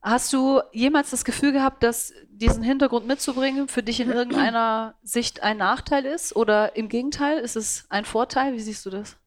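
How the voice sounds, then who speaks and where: A woman with a fairly high voice interviews her guest. She asks long, rambling questions and hesitates now and then. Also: steady tone, normal speed, hardly any pauses.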